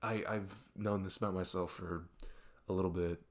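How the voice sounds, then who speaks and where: A man playing a best friend who confides about a fight with his parents in a casual, halting, rambling ASMR roleplay style. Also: sits low, steady tone. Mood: frustrated. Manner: halting, rambling, exasperated sighs.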